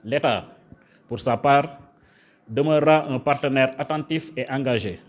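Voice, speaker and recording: Senegalese official, dull lo-fi sound. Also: male voice, medium pitch, moderate pace. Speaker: government official, prefect. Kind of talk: formal official ceremony speech. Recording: dull, lo-fi event recording.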